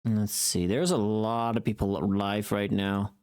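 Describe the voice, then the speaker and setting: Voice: deep voice, level tone, measured pace, a little mellow, clear, resonant tone. A livestreamer with a tired voice, chatting casually in a rambling, informal and mildly sweary way, close to the microphone. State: tired voice from long recording.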